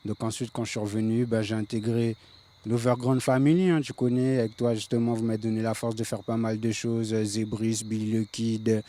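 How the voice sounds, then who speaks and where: A man's voice: on the low side, little pitch movement, brisk, mellow. A man in his late thirties from the Paris suburbs, a reggae singer, talking in a laid-back way with many fillers. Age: 30s. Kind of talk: casual interview.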